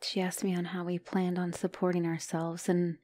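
A woman's voice: on the low side, monotone, normal speed, warm tone, close to an everyday voice. A woman playing a loving, anxious spider-woman fiancée in an ASMR roleplay, speaking tenderly and hesitantly. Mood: hesitant confession. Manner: tender, hesitant, often dropping to whisper.

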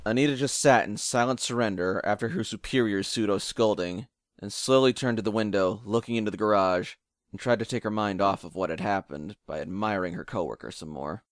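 A man's voice: on the low side, fairly quick, no room sound, clean studio sound. A man narrating a scripted audio drama in a wry storytelling manner. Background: music.

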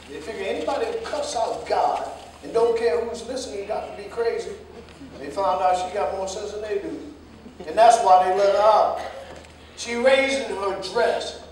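Guy acting opinionated american cab driver, high voice, firm, play reading in big echoey room. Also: darkish tone. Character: opinionated male cab driver, frank and sympathetic. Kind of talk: staged reading of a stage play. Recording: echoey stage, distant mic, faint noise.

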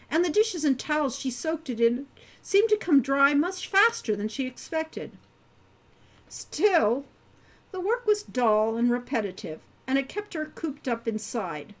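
An older woman, the book's author, narrating her novel in a gentle, expressive storytelling manner at home. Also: high voice. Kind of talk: audiobook narration.

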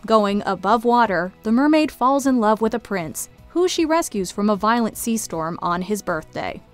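A woman's voice: medium pitch, animated, moderate pace, faint music behind. A woman reading scripted video essay narration into a close studio microphone. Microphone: close studio voice-over mic.

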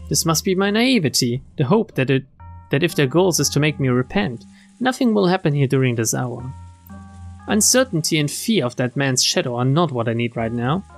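A streamer with a mid-range, very animated voice reads a visual novel aloud. The delivery is expressive and slips into casual, joking commentary. Game music and rain play behind the voice. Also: mid-range voice, normal speed. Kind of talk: let's play read-aloud with casual asides.

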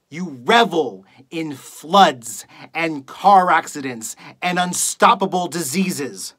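Performer acting a bitter, forceful talk radio host. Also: breathy edge. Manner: scornful, accusatory, building from low muttering to shouting. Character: bitter, contemptuous late-night talk radio host ranting at listeners. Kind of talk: theatrical dramatic monologue.

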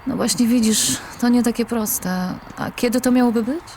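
A woman's voice: on the high side, level tone, normal speed, over a noisy crowd, natural voice. An actress playing a busy, hesitant career woman on a phone call in an audio drama, casual and noncommittal.

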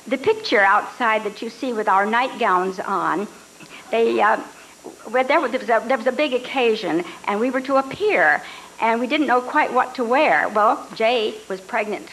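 A woman's voice: fairly high pitch, moderate pace, fairly bright tone, a little hiss or hum, rough recording. An older woman reminiscing casually about her radio days, speaking at a stand mic on a hotel ballroom stage. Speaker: former radio actress guest.

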